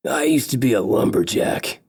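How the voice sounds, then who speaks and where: A man's voice: medium pitch, animated, moderate pace, darkish tone, husky. A man voicing a cheerful, boisterous big male elf, a former lumberjack. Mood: jovial. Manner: jovial, boisterous.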